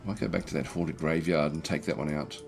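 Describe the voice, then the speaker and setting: Very deep, croaky male game streamer. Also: faint music behind. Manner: halting, thinking aloud. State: croaky throat, recovering from feeling unwell. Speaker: livestream host playing a strategy game. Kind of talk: casual game commentary, reading and answering chat.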